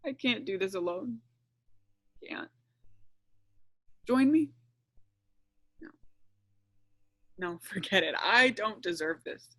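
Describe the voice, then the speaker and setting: A woman playing a distraught, lonely young prisoner in an audio play, halting and shaky, flaring into anger. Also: medium pitch, very animated, a bit bright, no room sound, heavily acted. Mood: wounded, resolute. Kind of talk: audio play acting over online stage reading.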